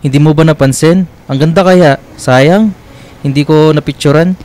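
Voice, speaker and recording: A man's voice: fairly high pitch, normal speed, darkish tone, lightly acted. A man playing a devoted, sweet and teasing young boyfriend in a radio romance drama, with a Filipino accent and a tender, coaxing manner. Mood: playful.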